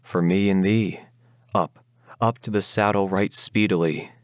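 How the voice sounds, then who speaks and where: A man's voice: low-pitched, fairly even intonation, unhurried, no echo, studio quality. Man playing an urgent, commanding undead horseman bridegroom. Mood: ominous, insistent. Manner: urgent, archaic, imperious speech. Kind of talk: dramatic Gothic ballad recitation.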